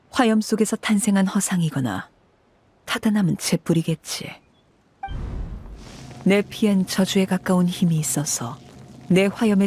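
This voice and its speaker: A woman voicing a stern, cold woman who runs an orphan house as its "Father", speaking in a cool, measured, commanding way. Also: lowish voice, warm tone, slight background noise. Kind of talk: game voice acting.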